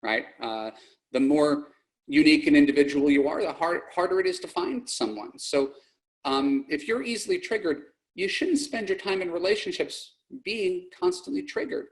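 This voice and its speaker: A man's voice: a little high, expressive, moderate pace, poor audio. A middle-aged man hosting a dating advice podcast, speaking bluntly and plainly and often correcting himself mid-sentence. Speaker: podcast host and dating coach. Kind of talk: podcast monologue and call-in coaching.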